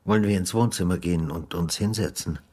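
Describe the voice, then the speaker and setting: Grave, low-voiced police detective. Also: male voice, darkish tone. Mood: careful, restrained. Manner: measured, sombre, careful. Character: grave, experienced police detective who delivers death notices. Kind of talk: literary radio drama narration and dialogue.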